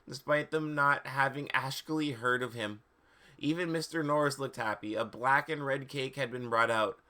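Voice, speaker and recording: A man's voice: mid-range voice, bright, forceful tone. A man streaming a live dramatic reading of fan fiction, with mock seriousness, stumbles over words and amused asides.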